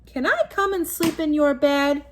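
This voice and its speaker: Woman doing a frightened little storybook bear cub, high and pleading, slow drawn out words. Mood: scared, pleading. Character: scared young bear cub, pleading for comfort. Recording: noisy room, home recording.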